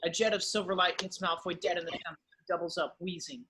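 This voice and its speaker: A man giving scripted narration, reading the stage directions of a drama in a husky voice. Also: sits high, fairly even intonation, fast, noisy background.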